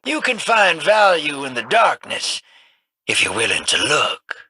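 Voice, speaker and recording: A raspy, drawling rogue scavenger. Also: male voice, on the high side, huge pitch swings, a little slow, sharp tone, no room sound, exaggerated voice. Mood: sly. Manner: drawling, folksy slang. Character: grizzled drawling rogue scavenger, middle-aged man, sly. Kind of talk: video game voice lines.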